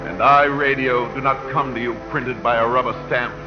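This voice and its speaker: A man's voice: mid-range voice, steady tone, moderate pace, close to an everyday voice. A man playing the voice of radio itself as a solemn, fervent orator in 1940s verse drama, declaiming poetically. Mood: grave, commanding. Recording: muffled old 1940 radio broadcast, music behind.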